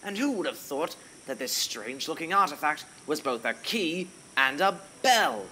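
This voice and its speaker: A man playing a plucky, excitable adventurer with theatrical exclamations in a children's audio adventure. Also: a little high, normal speed, slight background noise, full character voice, low-quality recording. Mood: relieved musing. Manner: theatrical, exclamatory, talks to himself.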